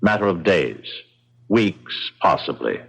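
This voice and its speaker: An American actor in an old-time radio drama, playing a cold, unhurried, sinister captor. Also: male voice, low-pitched, animated, a bit bright, breathy edge, heavily acted. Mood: grimly detached. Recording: muffled vintage radio drama recording.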